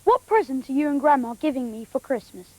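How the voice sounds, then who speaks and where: A woman playing a young granddaughter who acts as a brisk, stern quiz show host. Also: high-pitched, sing-song, very noisy. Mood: brisk, formal.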